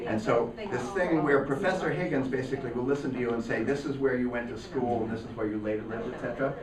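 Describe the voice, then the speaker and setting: A man with a northeastern Pennsylvania accent leading a relaxed classroom discussion and telling anecdotes. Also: medium pitch, quick pace, crowd noise. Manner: conversational, anecdotal. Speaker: teacher. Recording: roomy classroom, mic at a distance.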